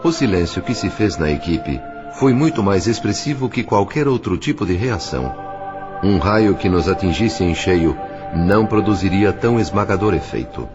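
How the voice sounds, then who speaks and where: A man's voice: sits low, expressive, moderate pace. A man playing a reverent spirit disciple, narrating an audio drama in a grave, formal style with a Brazilian accent. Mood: stunned, solemn. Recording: dull lo-fi audio with music.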